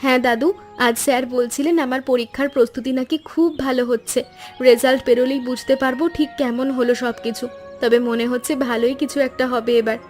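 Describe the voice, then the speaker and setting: A dutiful college-age granddaughter voiced by a woman with a high voice. She sounds earnest and caring, in a cartoon storybook style. Light music plays behind her. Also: fairly even intonation, brisk, darkish tone, lightly acted. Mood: hopeful. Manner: earnest, solicitous. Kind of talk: animated storybook cartoon dialogue. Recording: music bed under the voice.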